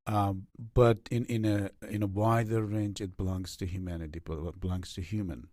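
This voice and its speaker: A middle-aged Afghan man speaks English with a Farsi accent in a very low, dark voice. He pauses often with fillers and restarts as he reflects on his answers. The sound is dry and close. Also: steady tone, no echo. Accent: Afghan Persian. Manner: halting, many fillers and restarts. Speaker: interview guest, writer and academic.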